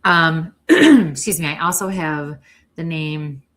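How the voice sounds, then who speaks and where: A woman hosting a live-streamed spirit reading as a psychic medium, chatty and often clearing an irritated throat. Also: low-pitched, expressive, takes it slowly, a bit bright. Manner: chatty, keeps clearing her throat and coughing.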